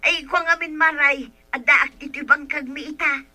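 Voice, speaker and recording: Comic drama: woman playing evasive, flustered village woman, Ilocano accent, sharp bright voice, hesitant delivery. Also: high voice, fairly even intonation, moderate pace, no room sound. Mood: pleading. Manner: hesitant, full of filler interjections. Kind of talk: comedic radio-style drama acting.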